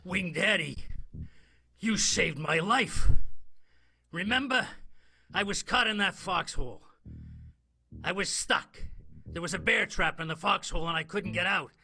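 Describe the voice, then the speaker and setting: High, bright, earnest war veteran played by a man. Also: unhurried, creaky, pauses often, slightly rough, exaggerated voice. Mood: awed, grateful. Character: earnest, grateful war veteran turned chummy investigator. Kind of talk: improvised 1920s radio soap opera acting.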